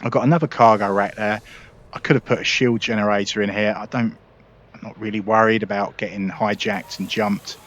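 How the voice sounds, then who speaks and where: A man's voice: on the low side, fairly even intonation, fairly quick, fairly bright tone. A man hosting a gaming video, casually walking viewers through his ship setup in a chatty, explanatory way with frequent "uh"s and restarts. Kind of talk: casual gameplay walkthrough.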